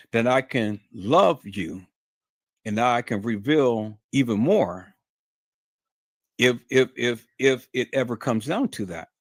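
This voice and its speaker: A man speaks to his live-stream audience in a preachy, emphatic monologue, often stumbling and repeating words as he presses his point. His voice sits at a middle pitch and is recorded dry and close, with no room sound. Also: no echo. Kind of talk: casual monologue to viewers.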